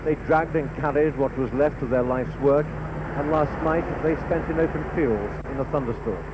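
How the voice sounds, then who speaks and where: A man's voice: mid-range voice, moderate pace. Male reporter over noisy ambience. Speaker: TV news reporter. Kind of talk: scripted news report narration.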